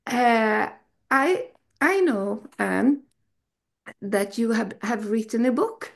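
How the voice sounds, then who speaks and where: A woman's voice: fairly high pitch, animated, slow pace, vocal fry. A woman hosting an interview, enthusiastic and effusive in her questions. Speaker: festival host interviewer. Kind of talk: casual interview.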